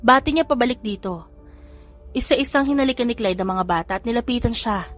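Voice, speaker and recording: A woman reading a romance story aloud in calm, steady narration. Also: on the high side, fairly quick, soft background music. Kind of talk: scripted audio drama narration.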